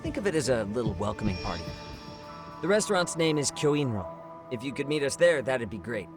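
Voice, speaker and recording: An actor playing a friendly young rookie detective in a video game. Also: male voice, mid-range voice, big pitch swings, fairly quick, music in the background, natural voice. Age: young adult. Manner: friendly, breezy planner.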